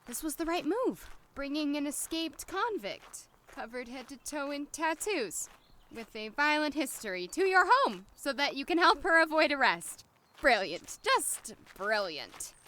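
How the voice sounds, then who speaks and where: A woman's voice: sits high, pushed and bright, a little hiss or hum. A woman playing an anxious, sarcastic young doctor in an audio drama, flustered and given to talking to herself. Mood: wry, nervous muttering. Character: anxious, wry young woman doctor, new lab worker in town. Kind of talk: audio drama acting with inner monologue.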